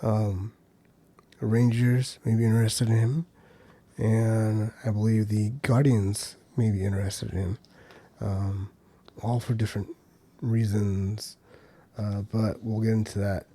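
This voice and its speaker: A man's voice: on the low side, little pitch movement, takes it slowly, long pauses, dark tone. A man hosting a podcast, talking through the week's news in a loose, conversational way with many ums and uhs. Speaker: podcast host. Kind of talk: solo casual commentary.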